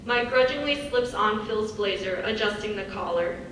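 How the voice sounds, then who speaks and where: A woman's voice: mid-range voice, animated, moderate pace, fairly bright tone. A woman reading stage directions as scripted narration. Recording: echoey hall, far from mic, faint noise.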